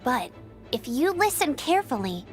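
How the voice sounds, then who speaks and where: A super high spirited anime game girl knight, brave and eager, really animated delivery. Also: moderate pace, soft background music. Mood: determined, earnest. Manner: earnest, spirited. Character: plucky young woman knight scout, eager and brave. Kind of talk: anime video game voice acting.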